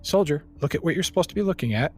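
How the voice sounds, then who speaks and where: A man's voice: a little high, expressive, racing, voice pushed up, natural voice. A man playing a terse, businesslike rebel sharpshooter in a tabletop game, speaking plainly.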